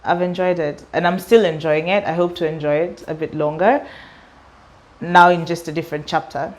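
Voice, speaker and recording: Young kenyan woman w a british-sounding accent, bit low voice, chatty, keeps laughing mid-sentence. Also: normal speed. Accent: British-sounding English. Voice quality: laughs often while talking, chatty and expressive. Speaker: interviewee, student and artist. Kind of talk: casual interview talk.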